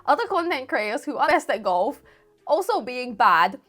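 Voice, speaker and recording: A woman's voice: sits high, very animated, normal speed, a bit bright. A woman in her twenties with a Singaporean accent tinged with British, chatting casually to camera and rambling as she answers viewer questions. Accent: Singaporean with a light British mix. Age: 20s. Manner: chatty, rambling, self-correcting. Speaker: golf YouTuber. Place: room at home with football shirts on the wall.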